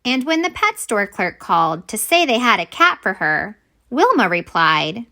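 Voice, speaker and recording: A woman reading a children's picture book aloud as a storyteller, expressive and deliberate. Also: medium pitch.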